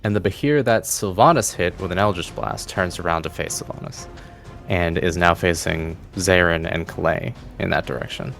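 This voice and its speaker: A man's voice: low voice, normal speed, gravelly, a bit bright. A man narrating a tabletop game as game master. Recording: faint music bed under voice. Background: faint music.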